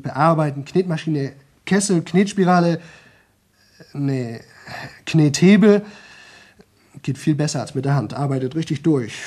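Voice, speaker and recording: A man's voice: a little high, darkish tone. An actor playing a young man in a radio drama who talks nonstop for a record, rambling, repeating himself and slipping into singsong. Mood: weary, rambling. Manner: rambling, repetitive, chatty, drifting into singsong. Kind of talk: 1970 German radio play monologue.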